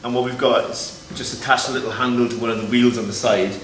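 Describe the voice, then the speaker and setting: Lower-voiced man, echoey and off-mic. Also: steady tone. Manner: explanatory, pausing to show each item. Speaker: Foley artist. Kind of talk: informal demonstration of sound-effect props. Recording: echoey, distant mic, loud noise and clicks.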